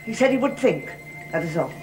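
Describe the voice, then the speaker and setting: A middle-aged actress playing a devoted, imploring servant in a solemn gothic soap style. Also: female voice, fairly low pitch, big pitch swings, brisk, over music. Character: devoted, pleading female servant of a cursed master. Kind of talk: gothic television soap opera acting.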